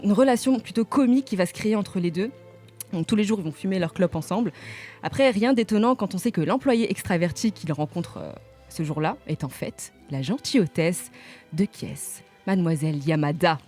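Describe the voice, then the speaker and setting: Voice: medium pitch, darkish tone. A radio columnist presenting manga in a casual chat, with playful jokes to the listeners. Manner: playful, jokey asides to the audience. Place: radio studio.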